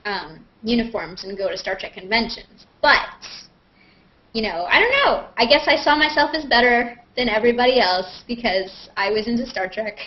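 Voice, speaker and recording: A woman's voice: fairly high pitch, lively intonation, normal speed. A woman chatting casually and playfully as she hosts a live question-and-answer video call. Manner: playful, joking, self-mocking. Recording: muffled, lo-fi internet video call.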